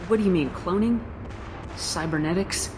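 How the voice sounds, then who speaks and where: A woman's voice: fairly low pitch, normal speed, a little mellow, slight background noise, close to an everyday voice. A woman playing a composed, direct military commander in a video game, with a questioning manner. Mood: inquiring. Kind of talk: video game dialogue acting.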